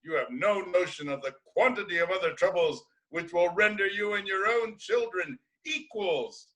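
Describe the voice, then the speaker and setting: A man plays an old blind prophet in a Greek tragedy. His voice is high-pitched and piercingly bright. He declaims with bitter defiance, every phrase delivered as an ominous pronouncement. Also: moderate pace, rough recording. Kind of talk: dramatic classical Greek tragedy reading.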